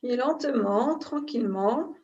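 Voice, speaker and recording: Slow-paced woman guiding relaxation, distant mic. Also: fairly high pitch. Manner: calm, unhurried, pausing between short phrases. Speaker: yoga nidra instructor. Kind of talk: slow guided relaxation instruction. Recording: distant mic, dull muffled sound.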